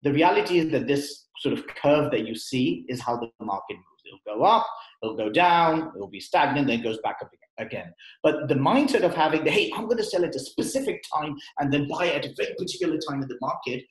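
A man's voice: medium pitch, lively intonation, brisk. A man giving a conversational webinar talk on investing, explaining with fillers and restarts over a computer mic. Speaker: guest speaker at an investing webinar. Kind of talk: webinar presentation. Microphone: computer mic on a video call. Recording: roomy echo.

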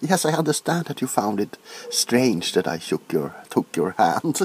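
Man acting as eccentric male doctor, nervous, soft breathy voice, very animated pitch, unhurried, theatrical, comedic ASMR roleplay. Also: noisy background. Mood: grave, explaining. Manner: hesitant, repetitive, theatrical, often dropping into whispers. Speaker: ASMR performer. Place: small cluttered room at home. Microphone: close to the camera.

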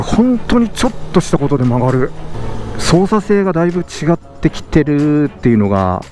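A man narrating a motovlog from his helmet as he rides, excited and laughing, sometimes shouting out and sometimes muttering low. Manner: excited, laughing, swinging between loud exclamations and low muttering. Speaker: motovlog host. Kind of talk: casual motovlog commentary while riding. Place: outdoors on a moving motorcycle. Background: road and engine noise with background music.

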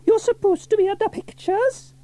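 A man's voice: very high-pitched, normal speed, warm tone, dry recording, voice pushed up. A man voicing a fussy, nosy middle-aged woman shopkeeper in a children's story, exclaiming and gossipy. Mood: indignant, surprised.

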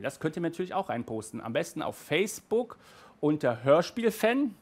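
A man in his thirties with a slightly raised voice, talking in a chatty, animated way as he reviews. He sits in a small room full of shelves and speaks into a headset mic. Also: a little high. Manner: chatty and animated, gestures with hands. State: hot and sweaty in a stuffy room. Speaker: audio drama reviewer. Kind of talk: casual video review.

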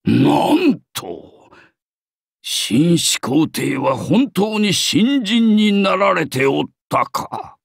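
Wry elderly mystic for an anime game: gravelly, slow, archaic and theatrical. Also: male voice, high voice, sing-song, no room sound, clean studio sound, heavily acted. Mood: helpful, amazed. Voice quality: raspy, creaky. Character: wry old bearded mystic offering medicine. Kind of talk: anime game character acting.

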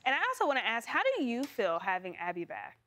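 A woman's voice: on the high side, very animated, quick pace, a bit bright. A woman co-anchoring a studio news show, asking a guest questions in a friendly, polished way.

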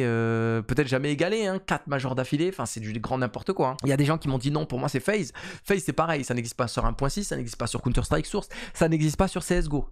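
A man in his thirties giving casual esports commentary to camera from a home streaming room, talking quickly with many fillers and repeated phrases. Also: medium pitch, gravelly. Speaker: esports YouTuber. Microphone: desk mic on boom arm.

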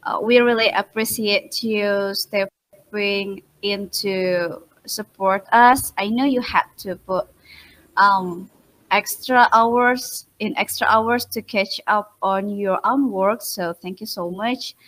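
A woman's voice: mid-range voice, fairly even intonation, fairly bright tone, rough recording. Indonesian woman presenting over a video call. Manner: halting, with fillers and restarts, polite and grateful. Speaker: midwifery lecturer and researcher. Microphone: laptop webcam mic on a video call.

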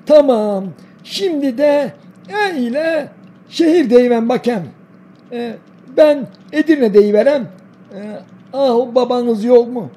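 Man as a high-pitched doting old Denizli grandpa. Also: darkish tone, a little hiss or hum. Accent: Denizli, southwestern Turkey. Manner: folksy, doting, encouraging. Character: kindly old village grandfather quizzing his grandchildren. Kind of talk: educational cartoon dialogue.

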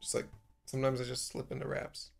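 A young man with a raspy voice, singing along to his own acoustic guitar at a stand mic in a cluttered home music room, then chatting loosely between lines. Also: medium pitch, monotone, fairly quick. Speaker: live-streaming musician. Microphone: stand mic in front of him.

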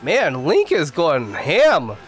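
A young man with a congested voice from the flu, giving casual, excitable commentary as he plays a racing game at home on a desk mic. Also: fairly high pitch, slow pace, faint music behind. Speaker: gaming YouTuber. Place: home gaming room. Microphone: desk mic, face camera.

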